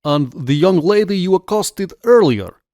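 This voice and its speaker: A man's voice: sits high, expressive, unhurried, a little mellow, no echo, studio quality, voice pushed up. A man voicing a menacing Russian party officer with a heavy accent, condescending and mockingly formal.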